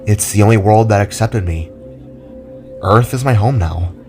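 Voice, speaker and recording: A man's voice: on the low side, normal speed, a little mellow, faint music behind, natural voice. A man doing a teasing younger brother. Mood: eager. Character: younger brother, playful expectant father.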